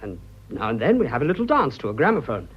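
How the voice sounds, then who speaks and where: Radio drama: boyish British planter, young man, sincere, animated delivery, husky edge. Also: mid-range voice, fast talker, lo-fi sound, exaggerated voice. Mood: breezy, cheerful. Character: earnest, boyish young English colonial planter in love.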